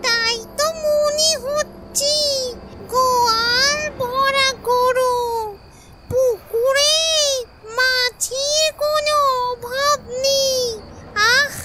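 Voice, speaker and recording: A woman's voice: very high voice, fairly even intonation, very slow, sharp tone, recorded from a distance, exaggerated voice. A woman voicing a gleeful, menacing female ghost in a children's cartoon, with sing-song threats and cackling. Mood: greedy, gleeful. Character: gleeful, menacing female tree ghost guarding her tamarinds.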